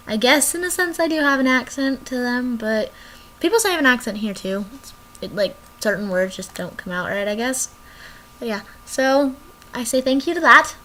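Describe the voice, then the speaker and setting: A woman's voice: on the high side, bright, forceful tone, a little hiss or hum. A young woman vlogging casually from her bedroom, rambling and drifting off topic as she chats to the camera. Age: young adult.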